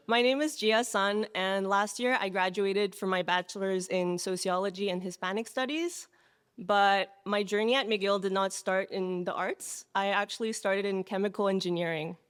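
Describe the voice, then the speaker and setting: Clear-voiced young woman telling a story. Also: medium pitch, fairly even intonation, a little slow. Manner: engaging storyteller. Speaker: graduate speaker. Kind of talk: prepared speech. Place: reception room at a faculty club.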